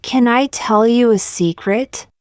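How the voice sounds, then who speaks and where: A woman reading short practice sentences aloud for learners, saying each one twice, slowly and carefully. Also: fairly high pitch, clear, resonant tone, dry recording, studio quality. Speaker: English teacher.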